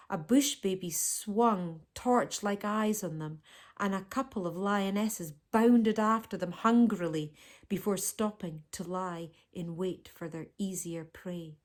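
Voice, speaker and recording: A woman reads a children's novel aloud in the manner of a teacher. Her storytelling is expressive, and her voice sits at an ordinary middle pitch for a woman. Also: takes it slowly. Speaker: teacher reading aloud to pupils.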